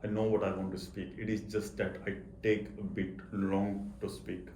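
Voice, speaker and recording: A man with a low voice and a stutter answers interview questions. He repeats words and halts as he explains himself earnestly. The room is echoey, with faint noise behind him. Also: little pitch movement, moderate pace, dark tone. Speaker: interview guest, accountant. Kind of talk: personal interview talk.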